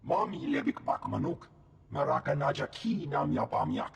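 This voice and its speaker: An actor voicing a gruff alien general in a video game, speaking an invented tongue in a gravelly rasp. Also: male voice, fairly high pitch, sing-song, moderate pace, full character voice. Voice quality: gravelly, rasping. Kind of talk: cinematic video game creature voice. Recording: long hall reverb.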